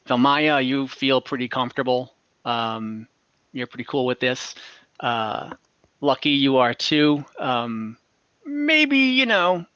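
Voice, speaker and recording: A man speaking as game master of an online tabletop game, halting and pausing to think as he sets the scene. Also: mid-range voice, pushed and bright, no echo. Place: indoor room on a group video call. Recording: dull video-call audio.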